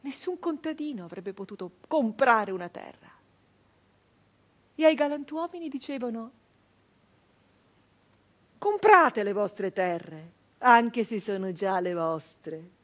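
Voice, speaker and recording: High-voiced, fierce woman brigand. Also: big pitch swings, full character voice. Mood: scornful mocking. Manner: intense, deliberate, with long pauses, swinging from hushed to outcry. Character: fierce bitter 19th-century female brigand telling her story. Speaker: stage actress. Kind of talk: dramatic theatrical monologue.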